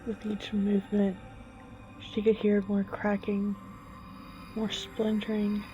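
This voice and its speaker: A woman narrating a dramatic story in a suspenseful, halting way, leaving long pauses between short phrases. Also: medium pitch, monotone, warm tone, highs cut off, faint music behind. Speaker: storyteller, host.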